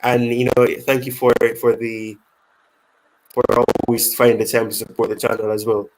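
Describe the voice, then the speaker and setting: A man hosts a casual football fan live stream, reading questions from the chat aloud. He rambles and restarts his sentences often. His voice sits at a middle pitch. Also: flat delivery, normal speed, background noise.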